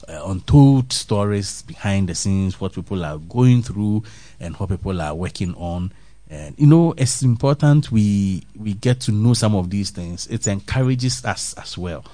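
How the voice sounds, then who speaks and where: Deep-voiced guy reading a church news story then musing about it, earnest, dry close mic. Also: dark tone, no echo. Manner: earnest, musing, trails off with restarts and fillers. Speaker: host reading and reflecting on a faith news story. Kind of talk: read-aloud news story then reflective commentary.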